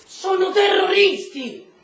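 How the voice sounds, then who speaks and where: An actor playing a bitter, weary American camp officer and husband, gruff, curt and crude, prone to outbursts, in a stage-style drama. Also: male voice, extremely high pitch, lively intonation, slow pace, a bit bright, exaggerated voice. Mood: furious, contemptuous. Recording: echoey room stage recording.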